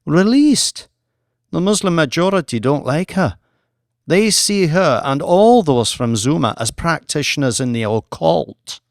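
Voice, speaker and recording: A man's voice: on the high side, lively intonation, a little slow, no room sound, studio quality, pitched above the performer's own voice. A man voicing a firm, formal British woman diplomat in an audiobook. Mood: incredulous. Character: proficient, wary female British diplomat, firm, professional.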